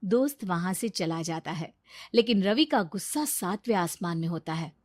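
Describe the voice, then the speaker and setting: A woman's voice: lowish voice, animated, moderate pace, no echo, studio quality. A woman delivering scripted narration for a moral cartoon story.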